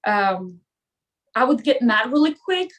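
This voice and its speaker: A woman's voice: a little high, sing-song, a little slow, fairly bright tone. A woman who speaks English as a non-native language, chatting casually as a podcast guest and retelling stories with quoted dialogue. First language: not English, a non-native speaker. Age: 30s or older. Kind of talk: casual chat, personal anecdotes.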